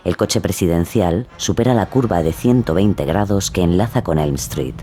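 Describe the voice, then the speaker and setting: Deep-voiced male narrator over music. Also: warm tone. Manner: deliberate, dramatic. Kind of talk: suspenseful scripted documentary narration.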